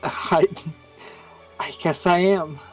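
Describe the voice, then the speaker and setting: Low-voiced woman playing a tabletop game. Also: steady tone, slow, soft background music. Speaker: tabletop roleplay player. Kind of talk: casual third-person roleplay.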